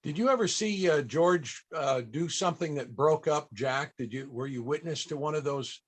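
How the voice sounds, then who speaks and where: A man's voice: fairly high pitch, normal speed, slightly rough. Male host; informal interviewer with hesitant, stop-start phrasing. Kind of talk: casual interviewing.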